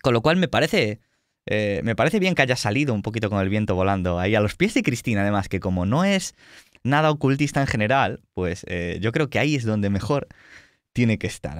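A man's voice: on the low side, lively intonation, a little fast, dry recording, studio quality. A man with a Spanish accent from Spain, casually hosting a livestreamed tabletop roleplay show as game master, with teasing, mock-boastful banter. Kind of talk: casual joking introduction of players on a livestream.

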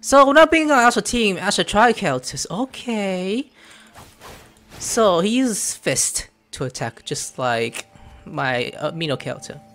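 A young man doing casual let's play commentary, excitable and muttering to himself as he plays. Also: sits high, measured pace. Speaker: gaming streamer. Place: small room indoors at home. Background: game music.

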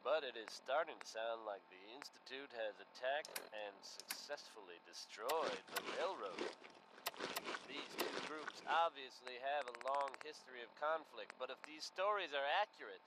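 Actor as earnest radio DJ, gunfire behind. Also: male voice, mid-range voice, expressive, normal speed, very noisy, natural voice. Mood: measured, solemn. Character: earnest male radio DJ in a post-apocalyptic wasteland. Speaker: radio host. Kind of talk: radio news bulletins and song introductions. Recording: loud gunfire and game effects over voice. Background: gunshots and game action sounds.

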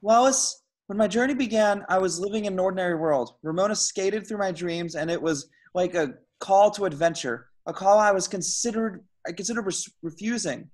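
A man reading the part of a hapless, earnest young slacker hero at an amateur table read, halting and excitable. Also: high voice. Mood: determined. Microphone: video call.

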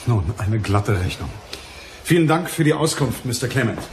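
A man's voice: medium pitch, expressive, moderate pace, background noise, natural voice. An actor playing a shrewd, businesslike male private detective in a radio crime play.

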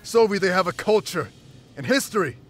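A man's voice: high-pitched, expressive, a little fast, a bit bright, soft background music. A man playing a noble, protective, grave beast warrior in a fantasy video game. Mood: indignant.

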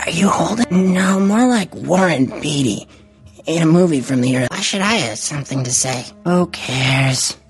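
An actor voicing a jittery, strung-out rat in a noir adventure game, whining and distracted. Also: male voice, fairly high pitch, animated, moderate pace, faint music behind. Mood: twitchy, evasive. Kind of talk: cartoonish noir game acting.

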